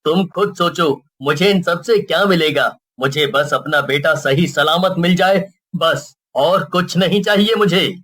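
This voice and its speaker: Cartoon dad, acted by a man: high, forceful voice, concerned, protective and earnest. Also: measured pace, hardly any pauses. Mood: pleading. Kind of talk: Hindi cartoon character acting.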